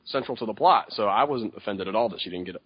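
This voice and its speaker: A man's voice: lowish voice, expressive, fast, husky, no echo. Man, casual wry chat, dry muffled recording. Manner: wry, teasing. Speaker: podcast co-host.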